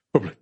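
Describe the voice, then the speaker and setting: A man hosting a podcast in casual, slightly rambling chat, with dry understatement and a husky voice. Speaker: podcast host. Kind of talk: casual chat, reading out an article.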